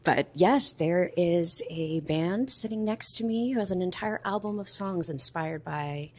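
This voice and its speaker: Lower-pitched woman chatting in an interview. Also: a little slow, a little mellow. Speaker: writer and vendor being interviewed. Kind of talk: conversational interview. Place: vendor's room at a convention.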